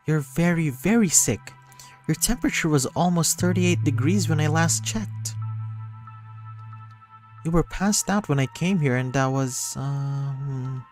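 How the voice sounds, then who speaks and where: A man voicing a sweet, anxious young woman who fusses tenderly in a breathy voice, in a visual novel. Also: fairly high pitch, voice pushed up. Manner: breathy, fussing, tenderly concerned. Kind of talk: visual novel character acting.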